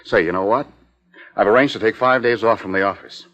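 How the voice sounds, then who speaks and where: An actor playing an earnest, emphatic husband in a 1950s radio suspense drama, with deliberate phrasing. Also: male voice, lowish voice, a bit bright, long reverb tail, lightly acted. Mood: relieved, caring. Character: earnest, fair-minded husband presiding over his wife's fate. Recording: dull muffled 1950s radio recording.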